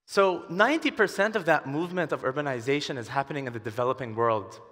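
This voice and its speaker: A man's voice: medium pitch, quick pace, a bit bright. A man in his twenties from Dubai giving a prepared talk on stage as a conference speaker, wearing a headset mic. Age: 20s. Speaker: conference speaker, entrepreneur and urban designer. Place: large conference hall stage, indoors. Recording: large hall reverb from the stage.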